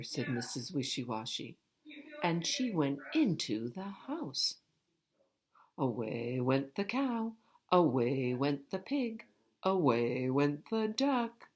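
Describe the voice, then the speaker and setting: Woman teacher for children's read-aloud: very deep, slow, expressive melody, instructive and question-led. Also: slight background noise. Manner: instructive, asks the listener questions.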